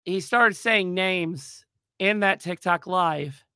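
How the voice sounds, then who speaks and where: A man hosting a livestream chat, hoarse from a cold, rambling with lots of fillers and restarts. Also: high voice, takes it slowly, bright, forceful tone, no room sound, clean studio sound. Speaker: podcast host. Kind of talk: casual livestream talk.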